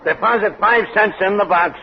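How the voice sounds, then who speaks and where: Impatient bus driver, highish sharp-tempered delivery, curt, slangy, 1940s radio comedy, muffled old recording. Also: male voice, moderate pace, creaky. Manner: curt, slangy, barking orders. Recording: dull, faintly noisy 1940s radio recording.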